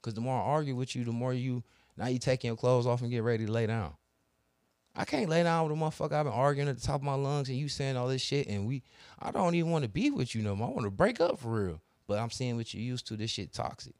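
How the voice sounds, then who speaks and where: A man's voice: medium pitch, fast, a little mellow, dry recording, clean studio sound. A young man telling a long, profane story in casual podcast chat, acting out both sides of the arguments. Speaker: podcast co-host.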